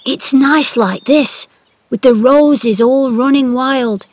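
Lady putting on a sunny gentle country boy, a bit louder, slow and dry. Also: high-pitched, no echo, voice pushed up. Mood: cheerful. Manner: warm and gentle. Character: country boy, cheerful gentle friend of animals.

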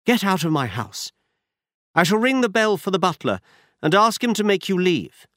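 A proud young noblewoman in distress, as a man puts her on, her voice raised high and swinging widely as she pleads and scolds. The sound is close and dry, with no room around it. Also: very animated, normal speed, fairly bright tone, dry recording. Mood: angry. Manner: pleading, indignant.

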